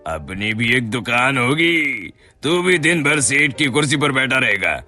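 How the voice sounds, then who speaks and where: Man acting a sly, excitable shop servant, wildly swooping voice. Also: mid-range voice, measured pace, faint music behind, full character voice. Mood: scheming, gloating. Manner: stammers when flustered. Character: sly, greedy shop servant, nervous and scheming. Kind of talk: Hindi moral-story cartoon acting.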